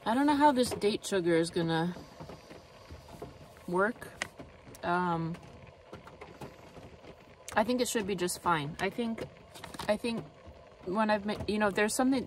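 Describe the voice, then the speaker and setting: A woman in her thirties chatting casually in a cooking vlog, relaxed and rambling, thinking aloud as she goes, filmed on a phone at arm's length inside a parked car. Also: mid-range voice, a little slow, pauses often. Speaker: vlogger. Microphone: phone camera at arm's length. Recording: noisy car interior.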